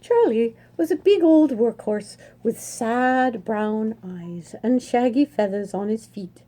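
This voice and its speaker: A middle-aged woman, a children's librarian, reading a picture book aloud in an expressive storytelling manner. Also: on the high side, slow.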